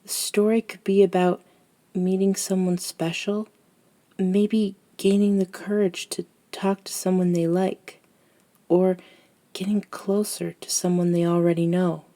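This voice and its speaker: A gentle elder vampire woman voiced by a female ASMR performer mentors in a soft, breathy near-whisper. Her voice is low and mellow, and she takes her time with long, soothing pauses. The roleplay delivery is patient and reassuring. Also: level tone.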